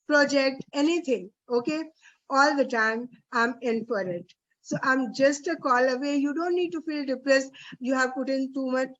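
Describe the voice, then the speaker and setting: A woman's voice: sits high, fairly bright tone. A woman with an Indian accent speaking as a trainer in an online session, encouraging and emphatic, often repeating phrases for weight. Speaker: guest trainer leading an online teacher workshop. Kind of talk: informal motivational closing talk to trainees. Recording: dull, lo-fi online call audio.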